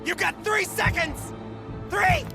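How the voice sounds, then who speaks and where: An actor playing a taunting, menacing gang thug in a video game, jeering with a gravelly voice. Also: male voice, very animated, slow, over music, full character voice. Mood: unhinged threatening. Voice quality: high, gravelly voice. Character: manic, taunting male gang thug, cornered hostage-taker.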